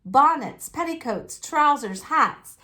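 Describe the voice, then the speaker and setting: Woman, slightly high pitch, children's storytelling, folksy, expressive narration. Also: takes it slowly. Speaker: storyteller reading a picture book aloud. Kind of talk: expressive read-aloud narration of a children's book.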